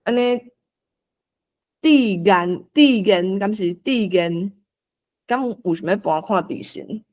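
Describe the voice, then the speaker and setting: A host with a Taiwanese accent casually organizing people in an online meeting, coaxing and animated. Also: medium pitch, slow pace, long pauses, lo-fi sound. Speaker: host assigning roles for a script read-along.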